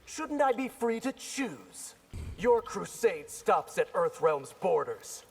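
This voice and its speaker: A man's voice: high-pitched, big pitch swings, takes it slowly, gravelly, breathy edge, full character voice. A man voicing an earnest, defiant young ninja in a video game, with a challenging delivery. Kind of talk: video game character dialogue.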